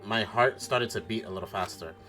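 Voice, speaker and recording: A man's voice: lowish voice, brisk, lightly acted. A man voicing an earnest, anxious teenage schoolboy who narrates his own mystery in a visual novel read-aloud, with dramatic expression. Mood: tense narration.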